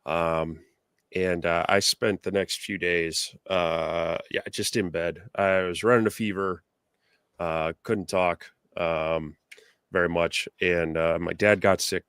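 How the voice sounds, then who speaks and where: Co-host, male, hoarse, rambling with fillers, low and resonant voice. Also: slow pace, a bit bright, dry recording, clean studio sound. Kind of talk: casual live-stream chat.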